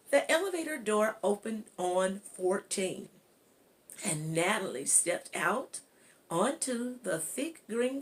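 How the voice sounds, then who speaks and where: A woman reading a children's storybook aloud as narrator, deliberately, with pauses inside her sentences. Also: mid-range voice. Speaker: guest reader. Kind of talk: audiobook-style storybook read-aloud.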